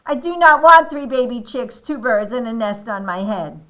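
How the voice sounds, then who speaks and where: A woman voicing an excitable, exasperated male elephant from a picture book, loud and indignant in disbelief. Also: a little high, slow, pitched above the performer's own voice, full character voice, poor audio. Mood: exasperated, angry.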